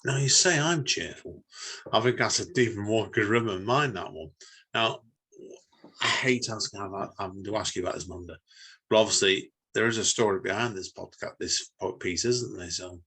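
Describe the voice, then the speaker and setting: A man hosting a podcast, reading his own fiction and chatting casually in a halting, informal way. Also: on the low side, bright, forceful tone, husky. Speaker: podcast host. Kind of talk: reading own short story, casual chat.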